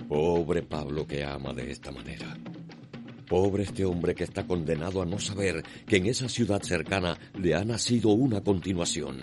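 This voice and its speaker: A Cuban man narrates a radio drama in a low voice. His delivery is solemn and lyrical, rich in drama, with music playing clearly underneath. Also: moderate pace.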